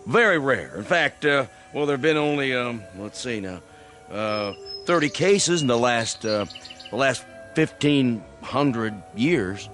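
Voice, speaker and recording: An actor playing a flustered, self-important older country doctor in a radio drama, hesitant and repetitive, with a rural American accent. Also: male voice, mid-range voice, animated, unhurried, a bit bright, faint music behind. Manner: hesitant, repeating himself.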